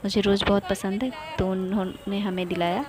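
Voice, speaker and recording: A woman's voice: medium pitch, little pitch movement, brisk, crowd noise. Chatty young woman vlogger. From India. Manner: chatty, cheerful, points things out to viewers. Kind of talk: casual travel vlog narration.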